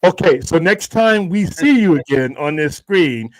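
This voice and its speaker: A man's voice: a little high, expressive, a little slow, low-quality recording. A man co-hosting a live stream, ribbing his partner in incredulous, joking banter. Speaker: co-host. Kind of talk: casual live stream chat.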